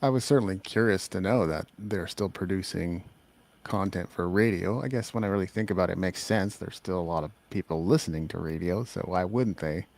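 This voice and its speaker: A man's voice: low voice, fairly quick, creaky, darkish tone. A man hosting an interview, speaking in a thoughtful, reflective way. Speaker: host. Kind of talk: interview conversation.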